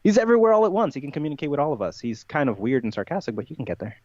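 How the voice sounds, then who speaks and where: A man's voice: fairly high pitch, big pitch swings, very fast, a little mellow, dry recording, muffled recording. A man talking as a podcast guest in a conversational, rambling way, making absurd claims matter-of-factly. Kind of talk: conversational interview talk, playful tongue-in-cheek persona.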